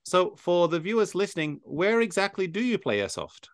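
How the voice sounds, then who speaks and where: A man's voice: high-pitched, big pitch swings, moderate pace, clear, resonant tone, no room sound, clean studio sound. A man hosting a casual interview podcast, encouraging and agreeable. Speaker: podcast host.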